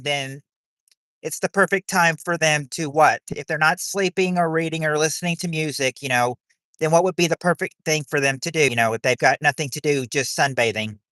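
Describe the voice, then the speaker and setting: A man's voice: on the high side, pushed and bright, no room sound. A man hosting a livestream of a quiz game, rambling as he reads chat and greets viewers.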